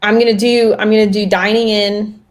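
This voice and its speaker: A woman co-hosting a podcast in casual, playful group chat, animated and quick to laugh. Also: medium pitch. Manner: animated banter, laughs often. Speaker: podcast co-host.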